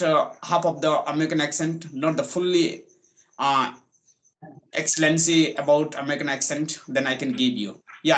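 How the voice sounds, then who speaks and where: Man, slightly high voice, casual talk, many fillers, english. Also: a bit bright, rough recording. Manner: halting, many fillers and restarts. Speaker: English coaching center owner. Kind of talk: casual conversation. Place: village.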